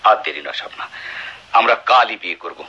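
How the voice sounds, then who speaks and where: An actor playing a cruel, contemptuous husband with a violent temper in a radio drama, with sneering taunts and sharp commands. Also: male voice, fairly low pitch, lively intonation, fast, breathy edge, heavily acted. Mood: impatient, hard. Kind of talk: Bengali radio drama acting. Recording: dull lo-fi radio drama recording.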